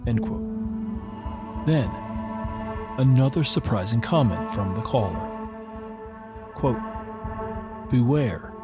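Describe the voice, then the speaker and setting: A man, a podcast host, telling a story in slow, deliberate scripted narration. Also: medium pitch. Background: music.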